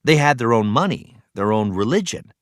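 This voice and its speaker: A man reading an educational text aloud as a teacher, in an explanatory way with clear phrasing for young learners. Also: medium pitch, expressive, moderate pace, no room sound, studio quality. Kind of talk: read-aloud educational narration.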